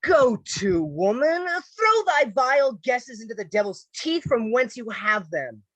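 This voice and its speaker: Woman as young gentleman soldier in Shakespeare audio play, animated and rough-edged, polite but slippery, closely recorded. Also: on the high side, unhurried, no echo. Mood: impatient. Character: courteous young male officer, earnest suitor, evasive lover. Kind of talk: Shakespearean radio play acting.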